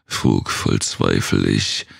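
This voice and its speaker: Male narrator for Gothic verse: brooding, theatrical, heavy gravel in the throat, very close dry sound. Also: extremely low pitch, little pitch movement, slow, no room sound. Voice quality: gravelly, husky, rasping. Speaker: narrator reading a horror poem aloud. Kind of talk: dramatic literary recitation, dark Gothic poetry.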